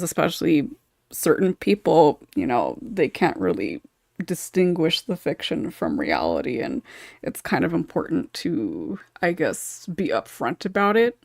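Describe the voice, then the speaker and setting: A woman's voice: lowish voice, gravelly, no room sound, clean studio sound. A woman talking casually in a panel discussion, thinking aloud and hedging as she goes. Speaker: podcast panelist.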